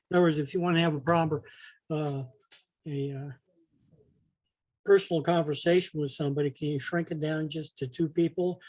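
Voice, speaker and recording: A man's voice: fairly high pitch, darkish tone. A man asking questions casually as an audience member on a video call.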